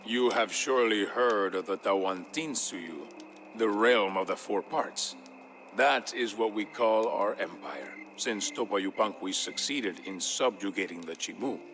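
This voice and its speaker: A man's voice: lowish voice, measured pace, fairly bright tone, soft background music. A man voicing a kindly, gray-haired old man who shares his people's history in an amicable, wise way for a game story. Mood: proud, kindly.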